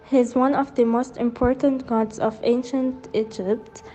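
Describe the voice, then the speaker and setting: A woman's voice: a little high, steady tone, unhurried, dark tone, soft background music, poor audio. A woman reading scripted documentary narration, sometimes stumbling and repeating words as she reads.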